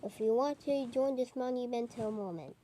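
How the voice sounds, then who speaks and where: A woman reading a homemade mock emergency broadcast in a halting, improvised way with stumbles. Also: on the high side, warm tone, a little hiss or hum. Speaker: narrator of a homemade emergency alert scenario.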